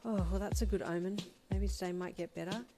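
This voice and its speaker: A woman's voice: medium pitch, steady tone, fast, lightly acted. Woman reading as a fiery, scared young woman. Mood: anxious. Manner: shouting, exasperated. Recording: music playing under the voice.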